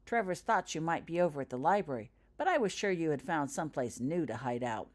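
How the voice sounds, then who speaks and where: A woman's voice: low-pitched, sing-song, a little fast. A woman reading a slick schoolboy bully in a storybook, cheerful on the surface with veiled menace.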